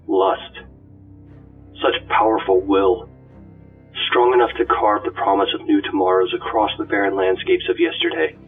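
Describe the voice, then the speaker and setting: Man voicing a slow, gravelly, reverent ancient ghost. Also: fairly low pitch, heavily acted. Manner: reverent, measured, oratorical. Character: awed, ancient ghost companion reflecting proudly on humanity. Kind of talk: dramatic scripted monologue. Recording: distant, phone-like audio under music. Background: music.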